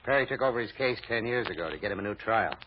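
An American actor playing a wary, wisecracking private detective in an old-time radio drama, talking in skeptical slang. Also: male voice, on the low side, animated, fast talker, lightly acted. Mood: wary, warning. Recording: noisy, muffled vintage radio recording.

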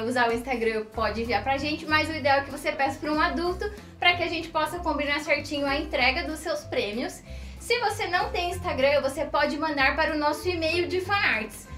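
A young Brazilian woman hosts a children's live stream with a high, bright voice. She addresses the viewers in an animated, exclamatory way. The room is echoey. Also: normal speed. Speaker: children's show host. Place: decorated indoor studio set, standing. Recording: roomy echo, music behind.

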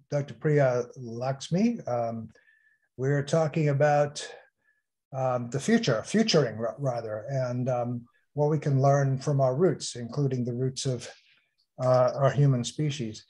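A man moderating a panel session, speaking haltingly with frequent restarts. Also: medium pitch, dark tone. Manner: halting, restarts sentences often. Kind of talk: hosting an online panel session.

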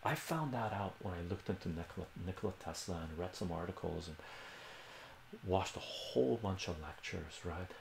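A man's voice: low-pitched, animated, normal speed, gravelly, echoey room, a little hiss or hum. An older man whose first language is Armenian, hosting a casual livestream from a home room, rambling and correcting himself as he answers viewers' questions. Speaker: livestream host.

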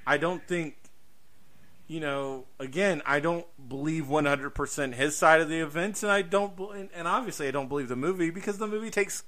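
A man with a fairly high, sharp, forceful voice talks as a podcast host. He is opinionated and emphatic in a casual way, swearing and repeating his points to drive them home. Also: brisk.

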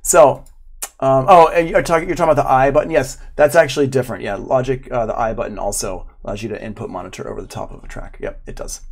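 A man's voice: mid-range voice, fairly even intonation, quick pace, vocal fry. A middle-aged man giving an informal live online lesson, chatting and thinking aloud as he demonstrates. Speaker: audio production instructor. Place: indoor room at home, live stream.

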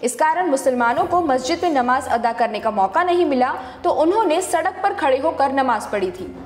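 A woman with a distinctly high voice reads a scripted news explainer. She narrates as a fact-check presenter. The recording is echoey, with a long reverb tail on her words. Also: animated, moderate pace.

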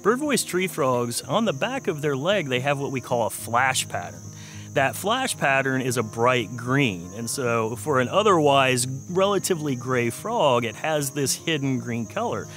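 A man in his forties with an American accent, explaining research in a measured way as a wildlife scientist in a documentary interview. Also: medium pitch, animated, fairly bright tone. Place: outdoors in a wooded swamp. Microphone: lapel mic. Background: music, insects.

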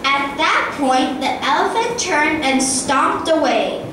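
A woman's voice: high-pitched, expressive, slow. A girl of about ten or eleven reading scripted storytelling narration as the narrator of a school play. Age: child, about ten or eleven. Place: theatre hall stage, filmed from audience. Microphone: far camera. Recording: echoey school hall, distant, very noisy.

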